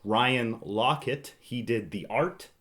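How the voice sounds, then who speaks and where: A man's voice: medium pitch, takes it slowly, a bit bright. A man hosting a casual board game podcast, talking in a teasing, bantering way. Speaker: podcast host.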